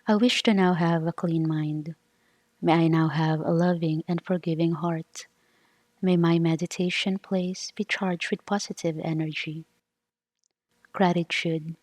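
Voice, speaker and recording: A woman meditation leader giving a scripted devotional recitation in solemn, deliberate phrases. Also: lowish voice, darkish tone, dry recording, poor audio.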